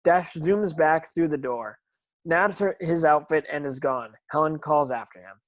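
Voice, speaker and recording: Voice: medium pitch, measured pace, no echo. A narrator reading screenplay stage directions in an online table read, now and then stumbling and repeating words.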